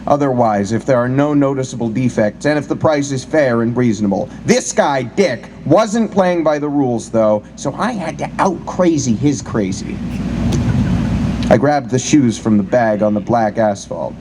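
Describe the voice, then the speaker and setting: A man's voice: mid-range voice, normal speed. A man giving a live dramatic reading of a comic first-person story in a wry, colloquial way. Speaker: live reader of short fiction. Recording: noisy live recording with steady ambient hum.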